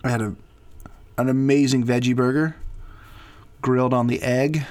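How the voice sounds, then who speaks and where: A man's voice: medium pitch, moderate pace. A man in his late thirties co-hosting a podcast, joking dryly and mocking himself. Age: 30s. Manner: dry, self-mocking humor, lively intonation. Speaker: podcast co-host. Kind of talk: casual couple chat.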